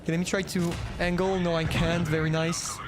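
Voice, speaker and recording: High-voiced French guy streaming a game. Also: level tone, a little fast. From France. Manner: casual, rambling, talks to himself. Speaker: gaming streamer. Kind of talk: live gameplay commentary, chatting with viewers. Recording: noisy, glitchy mic cutting out.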